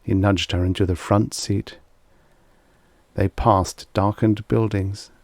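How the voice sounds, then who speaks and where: Guy narrating an old sci-fi story, lowish creaky voice, really dry recording. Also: fairly even intonation, slow pace, no room sound. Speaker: audiobook narrator and host. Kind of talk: scripted narration of vintage science fiction stories.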